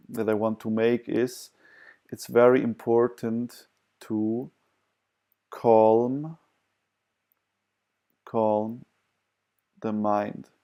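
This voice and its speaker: A man who is not a native English speaker gives unscripted personal advice in a hesitant, halting way, pausing and repeating words. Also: lowish voice, darkish tone, smooth. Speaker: video presenter sharing personal advice. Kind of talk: unscripted advice talk to camera.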